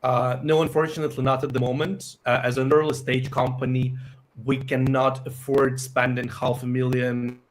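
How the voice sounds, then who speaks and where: Ukrainian guy explaining his product, slow halting answers, lots of uhs. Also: medium pitch, level tone, slight background noise. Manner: halting, many fillers and pauses. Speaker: software company founder. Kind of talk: live interview answers.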